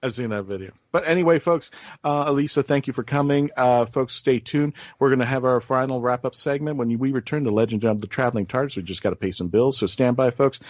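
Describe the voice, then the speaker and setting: A man's voice: mid-range voice, brisk, a little mellow, dry recording, lo-fi sound. A man hosting a casual interview show, talking in an effusive, informal, rambling way. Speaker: talk show host.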